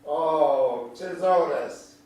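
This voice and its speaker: A man's voice: fairly high pitch, extremely slow, darkish tone. An actor playing a folksy older country man, a kindly, teasing storyteller with a homespun drawl, in a theatrical staged reading. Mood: playful, mock alarm. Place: large echoey indoor room at a staged reading. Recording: echoey hall, distant mic, faint noise.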